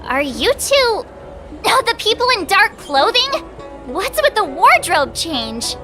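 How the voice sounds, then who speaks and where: Very high girlish voice, curious, expressive, video game character, music behind. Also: slow, exaggerated voice. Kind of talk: animated video game voice acting. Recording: music under the voice.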